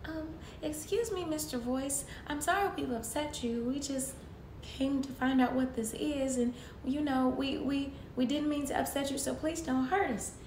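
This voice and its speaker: A woman's voice: expressive, brisk, few pauses. A woman voicing an adventurous goat in a story, polite and hesitant, in an echoey room. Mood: apologetic, pleading. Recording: echoey room with faint rumbly noise.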